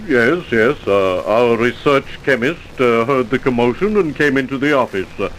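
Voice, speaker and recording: An actor playing a timid, flustered office manager in an old radio drama, hesitant and stammering. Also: male voice, mid-range voice, animated, moderate pace, a bit bright, lightly acted. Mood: nervous, subdued. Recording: noisy vintage radio recording.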